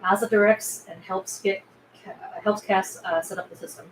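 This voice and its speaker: A woman's voice: medium pitch, fairly even intonation, normal speed. A woman reading stage directions aloud as scripted narration at a table read. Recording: echoey room, faint background noise.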